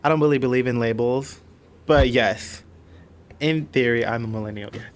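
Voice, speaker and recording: A man's voice: medium pitch, normal speed. A man in his twenties giving casual answers as an interviewee. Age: 20s.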